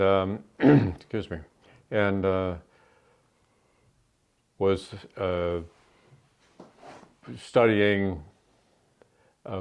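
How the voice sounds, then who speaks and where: Older veteran, New England accent, very low voice, meandering interview answers. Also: male voice, clean tone. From New Hampshire. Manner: rambling, halting with many fillers. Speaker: Army veteran guest. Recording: dull lo-fi sound.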